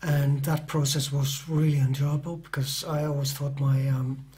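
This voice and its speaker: A man's voice: mid-range voice, level tone, moderate pace, darkish tone. Middle-aged author guy, english not his first language, lots of ums and restarts, unsure delivery. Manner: hesitant, many fillers and restarts. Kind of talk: interview answers.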